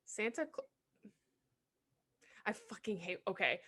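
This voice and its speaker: A woman's voice: medium pitch, sing-song, slow, pushed and bright, breathy edge. A young woman co-hosting a casual podcast, laid-back and teasing, swearing casually. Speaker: podcast co-host. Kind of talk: casual chat.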